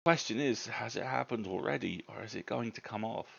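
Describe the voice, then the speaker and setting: A measured, observant college fellow from a storybook ghost tale, performed by a man. He describes things deliberately, with slight hesitation. The voice is gravelly and the recording somewhat dull. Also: lowish voice, a bit bright, voice pushed up, full character voice. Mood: thoughtful. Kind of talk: dramatic storybook reading.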